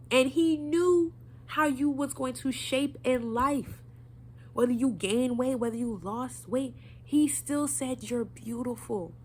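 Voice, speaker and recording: Young woman w a stuffy nose, kinda high voice, preachy pep talk to camera, faint hum behind. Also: darkish tone. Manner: earnest, preachy, direct address. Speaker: vlogger.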